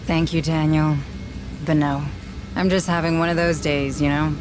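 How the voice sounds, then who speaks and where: A woman's voice: deep voice, level tone, moderate pace, background noise, close to an everyday voice. A woman acting a dutiful, earnest deputy administrator in a video game cutscene, speaking in a restrained, firm, businesslike way. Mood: weary, guarded.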